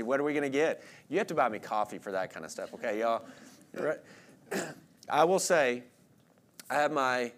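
A man, preaching an expository sermon in an informal, self-deprecating way with rhetorical questions to listeners. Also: mid-range voice. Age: late 30s. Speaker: pastor preaching.

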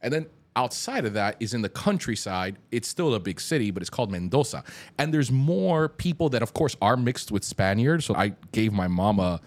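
A man from Miami, a podcast guest, telling stories in an animated, emphatic way, full of slangy asides. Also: mid-range voice, normal speed. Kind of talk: casual chat.

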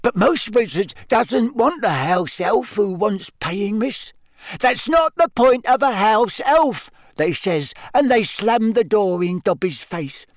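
A man voicing an eager, devoted small male house-elf in a squeaky, shrill, servile voice for an audiobook. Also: expressive, slow pace, no room sound, studio quality. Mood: excited, proud. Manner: servile, speaks of himself in third person.

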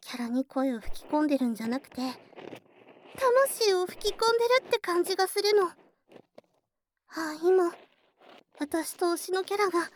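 High, lively, bashful young girl acted by a woman. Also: slight background noise. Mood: passionate, dreamy. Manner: confiding, girlish, tsundere bashfulness. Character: earnest, bashful young childhood friend working as cafe waitress. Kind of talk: Japanese ASMR situation voice drama acting, intimate one-sided conversation.

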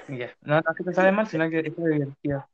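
A young man with a Chilean Spanish accent leads a playful mock interview. His voice is fairly high, and he rambles and hunts for words. The recording sounds dull and muffled. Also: brisk, a little hiss or hum. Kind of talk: casual role-played interview.

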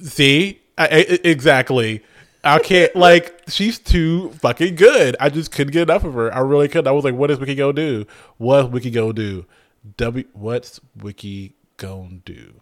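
A man in casual conversation, gushing about a show he loves with animated energy. He talks in bursts, restarting his sentences and cursing freely. His voice sits at an ordinary middle pitch. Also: moderate pace. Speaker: podcast co-host. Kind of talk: casual chat reviewing a TV show.

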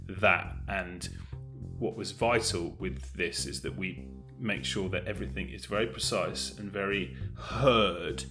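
A man's voice: low voice, unhurried, music in the background. A man talking casually as an interview guest about producing audio drama.

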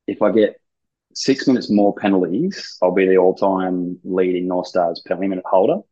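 A man talking in a laid-back, rambling way, swearing casually during a relaxed interview. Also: low voice, moderate pace. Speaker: gym founder and ice hockey player, guest. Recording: dull lo-fi sound.

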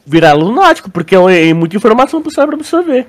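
A Brazilian man with a fairly high voice talks like a podcast co-host among friends. His speech is slangy and informal, and he circles back over words while working out his point. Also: big pitch swings, fast. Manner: slangy, repeats words while searching for phrasing. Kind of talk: casual chat, theorizing about a show.

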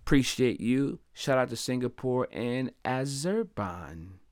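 A man from Delaware hosting his own podcast, talking in a casual, upbeat, chatty way and giving slangy shout-outs to listeners. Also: mid-range voice, expressive, measured pace, no echo, studio quality. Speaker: podcast host. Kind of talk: casual solo podcast monologue.

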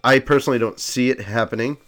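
A congested man talks his way through a casual sports chat, stuffy in the nose. He rambles, trips over restarts and leans on fillers, at an everyday middle pitch. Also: fast talker, a bit bright. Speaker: podcast co-host.

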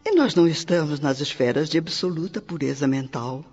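A man's voice: on the high side, a little fast, a little mellow, breathy edge. A male actor with a Brazilian accent playing an earnest spirit mentor in a radio drama, lecturing in an emphatic, oratorical, didactic way. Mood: didactic, fervent. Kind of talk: theatrical Portuguese radio drama monologue. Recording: slightly dull radio production with music. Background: music.

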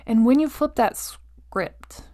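A woman hosting a motivational monologue, speaking directly to the listener with emphatic phrasing, deliberate pauses, repetition and rhetorical check-ins. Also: on the high side, warm tone. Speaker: podcast host.

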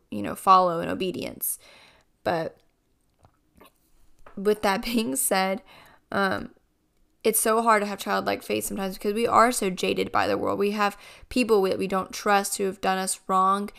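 A woman hosting a casual devotional podcast, her voice a bit raspy as she gets over a cold, speaking in an earnest, reflective way. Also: mid-range voice, a bit bright. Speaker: podcast host.

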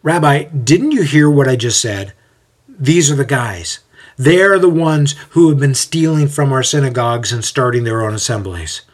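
A man's voice: fairly high pitch, lively intonation, unhurried, husky, pitched above the performer's own voice. Exasperated, anxious rabbi's protege, voiced by a man. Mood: alarmed. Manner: urgent, insistent.

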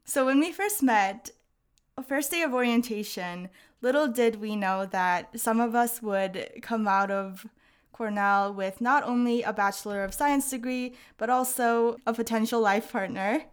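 Clear-voiced young woman interviewer. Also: on the high side, unhurried. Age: 20s. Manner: chatty, laughs often. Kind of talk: casual conversation.

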